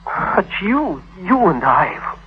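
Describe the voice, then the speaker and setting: An actor playing an anxious, lovesick tutor, eager to please, in a vintage radio drama. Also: male voice, high voice, big pitch swings, takes it slowly, heavily acted. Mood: bewildered, pleading. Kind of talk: 1950s radio drama acting. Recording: muffled vintage radio broadcast.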